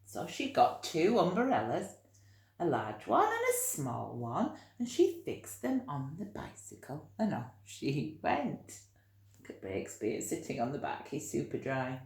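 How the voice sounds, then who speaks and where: A woman teacher reading a storybook to children, expressive, breaking off to put questions straight to her young listeners. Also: sits low, roomy sound. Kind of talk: animated children's storytelling with friendly asides.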